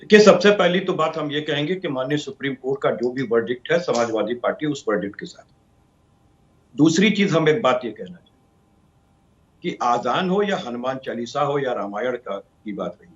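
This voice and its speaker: A man's voice: mid-range voice, animated, normal speed, lo-fi sound. A man arguing as a party spokesman in oratorical, long listing sentences over a band-limited feed. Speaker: political party spokesman, debate guest. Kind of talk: political debate argument.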